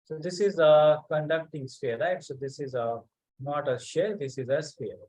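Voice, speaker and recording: A man teaching a physics class online, speaking haltingly and calling on students by name to check that they follow. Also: on the high side, mellow.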